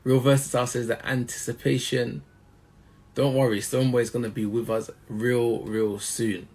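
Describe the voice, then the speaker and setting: A man's voice: mid-range voice, level tone, normal speed, darkish tone. A man hosting a live-stream interview, chatty and hyping up his audience. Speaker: interview host.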